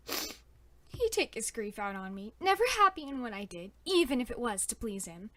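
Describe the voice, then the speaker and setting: A woman's voice: high voice, a bit bright, slight background noise. A woman playing a genteel, wounded but resolute young lady in a period audio drama, speaking politely and dramatically. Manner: polite, old-fashioned, dramatic sighing delivery.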